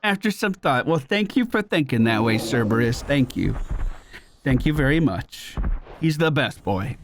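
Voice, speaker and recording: A man's voice: medium pitch, expressive, normal speed, background noise. A man streaming a game, giving casual, slangy commentary as he thinks aloud. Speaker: gaming streamer. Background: game music.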